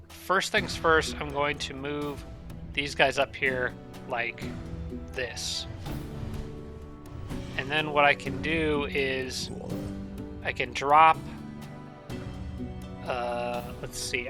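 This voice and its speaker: A man casually commentating a strategy game, thinking aloud and correcting himself, his voice a little raspy and nasal from allergies. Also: mid-range voice. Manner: thinking aloud, rambling with restarts.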